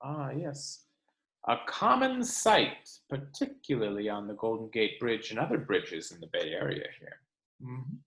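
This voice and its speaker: A man reading a book aloud to children like a teacher, expressive and instructional, pausing to explain. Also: medium pitch. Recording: roomy, echoing sound.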